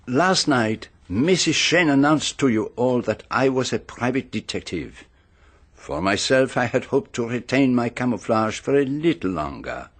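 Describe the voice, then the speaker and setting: An actor playing a vain, precise celebrated detective in a radio drama, with a pompous, formal manner. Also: male voice, mid-range voice, big pitch swings, unhurried, slightly rough. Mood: formal, self-important.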